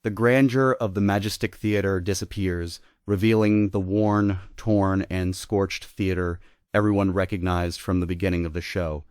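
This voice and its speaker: A man's voice: sits low, steady tone, normal speed, a little mellow, no echo, studio quality. A man giving scripted narration, reading out the stage directions of a play.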